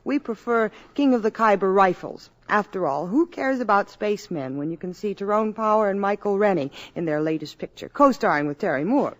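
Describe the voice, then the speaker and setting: Poised actress in radio banter. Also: female voice, mid-range voice, lively intonation, brisk, hardly any pauses. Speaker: actress guest.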